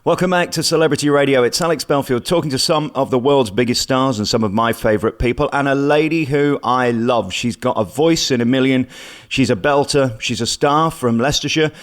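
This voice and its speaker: A man's voice: mid-range voice, a little fast. A man hosting a celebrity interview for radio.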